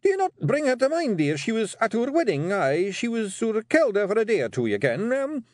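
A man's voice: high-pitched, brisk, no echo, clean studio sound, pitched above the performer's own voice. A man voicing a blustering, easily flustered little fairy clan chief with a Scottish accent in an audiobook. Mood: flustered, pleading. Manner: exclamatory, excitable, faltering under pressure. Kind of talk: audiobook character voice.

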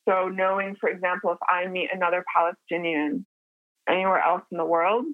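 A woman born in the United States explaining history in a measured lecture style, with occasional fillers. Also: on the low side, level tone, no echo. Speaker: interviewed scholar. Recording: tinny phone-like line, faint music.

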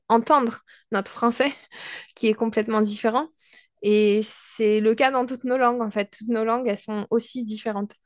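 A woman language teacher co-hosting a podcast, talking thoughtfully with frequent pauses and restarts, with a Mexican-tinged French accent. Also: mid-range voice, no room sound, clean studio sound. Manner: thoughtful, hesitant with many restarts and fillers. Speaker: podcast co-host. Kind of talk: casual conversation.

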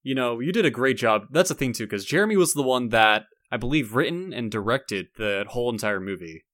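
A man's voice: mid-range voice, lively intonation, a little fast, fairly bright tone, no room sound, studio quality. A young man co-hosting a podcast in casual chat, talking in a rambling way with lots of "like". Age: young adult. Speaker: podcast co-host.

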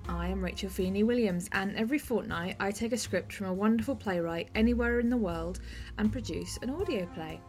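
A woman speaking as the host of a podcast, introducing and interviewing her guest. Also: medium pitch.

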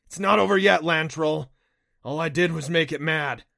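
A man's voice: a little high, a little fast, gravelly, pushed and bright. Man voicing an impatient kelpie, slightly raised voice. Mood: irritated. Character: gruff, impatient male kelpie, a magical water horse.

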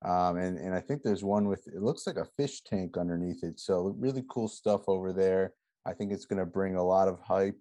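A man's voice: deep voice, normal speed, hardly any pauses, mellow, no room sound. A man co-hosting a podcast in a casual, chatty style. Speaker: podcast co-host. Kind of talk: casual chat.

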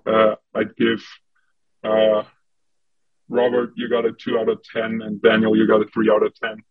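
A man's voice: lowish voice, monotone, moderate pace, husky, no room sound, phone-quality sound. A man chatting bluntly and hesitantly on a video call. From Germany. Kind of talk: casual chat on a video call.

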